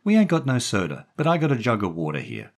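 A man's voice: mid-range voice, huge pitch swings, fast, vocal fry, pitched above the performer's own voice, exaggerated voice. A man voicing a brassy, coaxing young American woman, a gangster's moll with slangy talk, in a story reading. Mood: chatty, sweet. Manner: slangy, coaxing, calls people honey.

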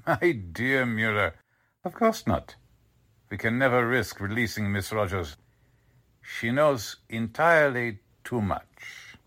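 A man's voice: mid-range voice, animated, slow, fairly bright tone. A man playing a smugly polite, condescending Nazi commander in a 1940s-style radio drama, speaking formally. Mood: sly, satisfied.

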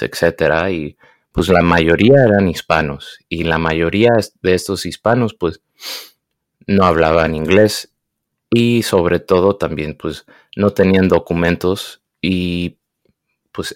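Young guy from the us, really low voice, stops a lot to find words, mixes in english. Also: no echo, clean studio sound. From United States. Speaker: guest. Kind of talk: casual conversation.

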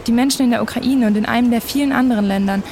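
A young woman playing a cheeky, opinionated student activist with slangy, wry delivery and a creaky voice in naturalistic audio drama. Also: fairly high pitch, racing, darkish tone, lightly acted. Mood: persuasive. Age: young adult. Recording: faint outdoor noise.

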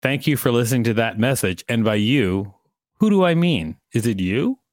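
A man's voice: mid-range voice, lively intonation, normal speed, dry recording, clean studio sound. A man co-hosting a podcast, reading an encyclopedia article aloud with playful asides. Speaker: podcast co-host.

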